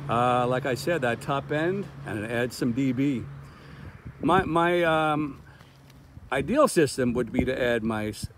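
A man giving casual product demo commentary as a gear reviewer, talking outdoors in the open. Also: medium pitch. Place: open park lawn outdoors. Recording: outdoor recording with street traffic noise. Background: passing cars, outdoor ambience.

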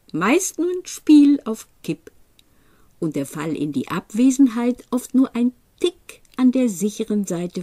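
A woman reading poetry aloud in an expressive literary style, with measured, deliberate phrasing and pauses. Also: fairly high pitch, no room sound. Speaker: poetry reader.

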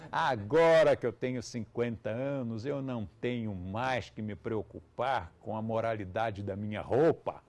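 A man's voice: mid-range voice, darkish tone. A man in his seventies with a Brazilian Portuguese accent, giving a live lecture to students in a conversational way with ironic asides. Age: 70s. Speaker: yoga teacher.

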